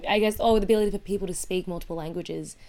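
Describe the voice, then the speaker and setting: A woman in casual conversation as a podcast co-host, animated and chatty, often saying "like". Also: on the low side, very fast.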